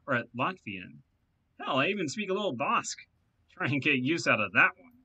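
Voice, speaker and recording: A man's voice: a little high, sing-song, fairly quick, sharp tone, breathy edge, muffled recording, exaggerated voice. A man voicing a rambling, talkative male hacker who boasts and amuses himself in a video game read-aloud. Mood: boastful.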